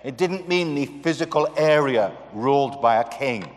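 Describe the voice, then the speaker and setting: Guest preacher, British man, mid-pitched, earnest, church reverb. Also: lively intonation, moderate pace. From United Kingdom. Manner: earnest, rhetorical questions to the congregation. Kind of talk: sermon. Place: church sanctuary. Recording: long reverb of a church hall.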